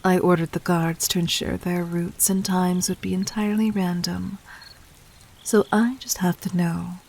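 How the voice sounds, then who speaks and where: A woman acts out a demon queen for an audio roleplay, her voice on the low side and very unhurried. She addresses the listener formally, gently domineering and teasing, with breathy touches and silky condescension. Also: flat delivery. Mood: intrigued.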